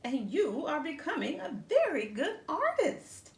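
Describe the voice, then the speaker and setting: A woman's voice: sits high, huge pitch swings, measured pace, voice pushed up, exaggerated voice. A woman voicing a kind, encouraging female teacher who comforts a young pupil in a children's storybook read-aloud. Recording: roomy echo, faint background noise.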